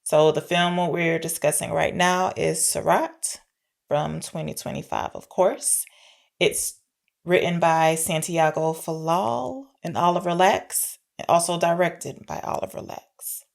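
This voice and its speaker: Low, creaky-voiced woman chatting about movies. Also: measured pace. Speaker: podcast co-host. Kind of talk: casual film discussion.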